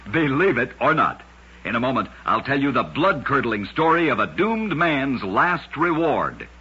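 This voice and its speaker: A dull, vintage radio recording of a man narrating strange tales. His voice is markedly rough and forceful. He delivers each scripted line with a dramatic storyteller's emphasis. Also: medium pitch, sing-song, normal speed. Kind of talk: scripted old-time radio oddity narration.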